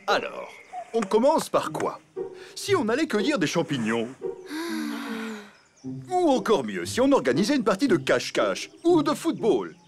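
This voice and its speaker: A man's voice: high-pitched, huge pitch swings, unhurried, pauses often, exaggerated voice. A man voicing a playful, upbeat father elephant in a children's cartoon, with enthusiastic, theatrical delivery. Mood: cheerful, eager. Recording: loud music behind the voice.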